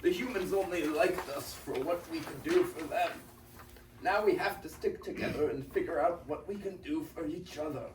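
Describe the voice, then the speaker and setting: Rallying donkey leader for school stage reading, teenage male: higher-toned, earnest, distant mic, faint room noise. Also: moderate pace, echoey room, natural voice. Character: earnest, bossy peacemaking old donkey leader. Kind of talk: classroom reader's theater.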